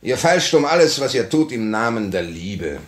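An actor playing a bitter, hardened revolutionary ex-convict in 1950s German radio drama, with a fierce, declamatory intensity. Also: male voice, medium pitch, very animated, normal speed, lightly acted. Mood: bitter defiance.

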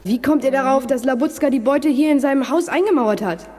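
A woman's voice: sits high, fairly quick, in a large hall, natural voice. A woman playing a decisive, bossy teenage boy detective in a radio play. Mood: probing. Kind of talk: German youth radio play acting. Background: loud music.

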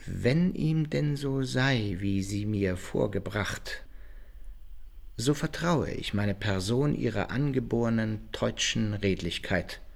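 An actor playing a proud, wary adventurer in a radio play, with measured, ceremonious, old-fashioned speech. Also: male voice, lowish voice, a little mellow. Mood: guarded, formal. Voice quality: gravelly, husky. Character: proud, wary male adventurer recounting his exploits. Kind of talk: radio play acting and first-person narration in archaic German.

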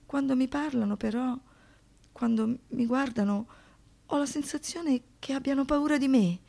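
A woman playing a young survivor in a radio theatre monologue, shaken but defiant, speaking intensely and confidingly in short halting phrases. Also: sits high, creaky, warm tone. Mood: lonely, trembling. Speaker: monologue performer and narrator.

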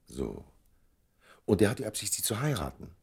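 An urbane older confidant in a radio drama. His voice is low and husky, his tone dry and gently ironic, with lively turns of intonation. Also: normal speed, full character voice. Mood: probing, wry. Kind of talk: German radio play acting.

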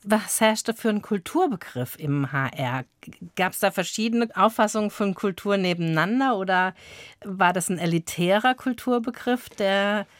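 A woman's voice: mid-range voice, normal speed, no room sound. A woman interviewing in a conversational radio style.

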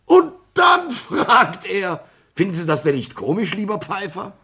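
Radio play casting: middle-aged justice councillor, exuberant tease and raconteur, hugely varied intonation. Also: male voice, high-pitched, wildly swooping pitch, measured pace, pushed and bright, husky, exaggerated voice. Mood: exuberant storytelling. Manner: theatrical, exuberant, urging. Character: jovial, teasing older gentleman, justice councillor, anecdote teller. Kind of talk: German radio play acting.

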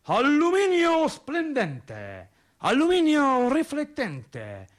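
A male actor plays a solemn reciter in a radio drama. The voice is pushed high and moves slowly. Each phrase is declaimed like an item in a chanted list. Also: huge pitch swings, heavily acted. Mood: solemn recitation.

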